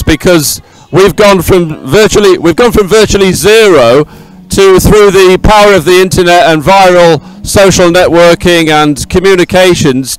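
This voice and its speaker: A man with a high-pitched, clean, resonant voice co-hosts live sports coverage. He talks off the cuff in an effusive way, doubling back on words to stress them. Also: clean tone, low-quality recording. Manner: effusive, repeats words for emphasis. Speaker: sports presenter and co-commentator. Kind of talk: live unscripted event commentary and chat. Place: outdoors at a busy regatta venue.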